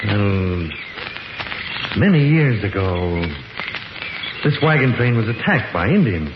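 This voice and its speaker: An actor playing an authoritative, learned professor leading an expedition in a 1950s radio drama. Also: male voice, on the low side, sing-song, slow pace. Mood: scholarly. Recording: muffled, echoey, very noisy old radio recording.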